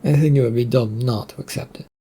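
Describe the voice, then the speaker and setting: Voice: medium pitch, fast talker, mellow, a little hiss or hum, close to an everyday voice. A storyteller voicing an unsure, polite young man with a hesitant, placating manner. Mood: practical.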